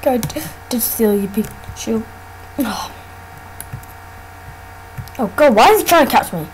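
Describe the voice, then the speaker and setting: A woman casually commenting on her gameplay, excitable and often exclaiming. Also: a little high, a little slow, a little hiss or hum, poor audio.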